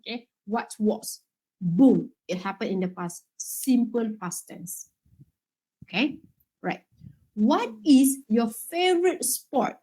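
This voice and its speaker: A woman's voice: on the high side, lively intonation, slow pace, dark tone, husky, low-quality recording. A woman teaching an exam workshop in a chatty way, checking in often with "okay?" and "right?". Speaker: IELTS workshop instructor.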